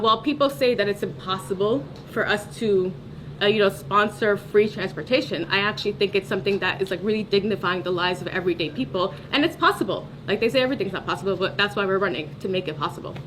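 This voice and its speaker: Fast-talking New woman, earnest. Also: medium pitch, fairly bright tone, rough recording. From New York City. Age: 30s or older. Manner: earnest, emphatic, colloquial. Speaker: political candidate being interviewed. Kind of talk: casual conversational advocacy. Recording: faint background ambient noise.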